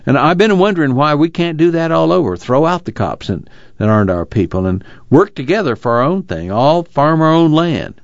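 A man's voice: a little high, sing-song, fairly quick, no echo, muffled recording. A man playing an earnest young fugitive farm son in a dramatized novel reading, reflective and plainspoken, with a drawl.

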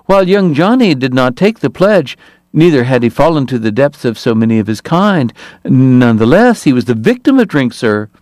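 Guy putting on a giant smarmy politician, squeaky high voice, speechy and slick. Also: sing-song, normal speed, a little mellow, no echo, studio quality, close to an everyday voice. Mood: pompous. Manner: smooth, pompous speechifying. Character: huge male politician, falsely sincere, high-voiced.

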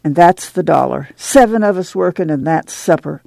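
A man voicing a weary, proud older farm mother in an audiobook, speaking softly with a drawl. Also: a little high, lively intonation, moderate pace, vocal fry, no room sound, voice pushed up. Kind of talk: audiobook character voice.

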